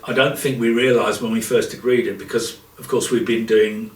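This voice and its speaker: A man's voice: mid-range voice, fairly even intonation, normal speed. A man chatting casually and unscripted in a joint interview. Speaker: theatre group interviewee. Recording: roomy, distant mic, background noise.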